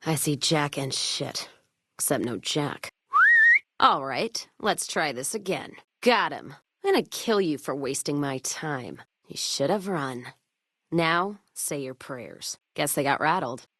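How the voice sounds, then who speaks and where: A woman's voice: low-pitched, expressive, slow, fairly bright tone, slightly rough, exaggerated voice. An actress playing a tough, hard-drinking frontier caravan woman in a video game, with an American accent and a folksy, sardonic, foul-mouthed way of talking. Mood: irritated, menacing. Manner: folksy, sardonic, drawling slang. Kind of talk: video game character voice lines.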